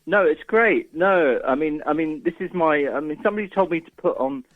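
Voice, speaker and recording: A man's voice: a little high, animated, fairly quick, no room sound, narrow, tinny audio. A man in his forties chatting casually as an interview guest, informal and hesitant, rambling a little. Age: 40s. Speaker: radio guest, doctor and record label owner. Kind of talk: casual chat.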